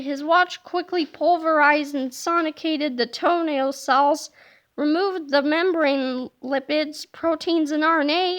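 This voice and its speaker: A woman reads a children's story aloud. Her voice is very high and bright. She narrates expressively, as a storyteller would.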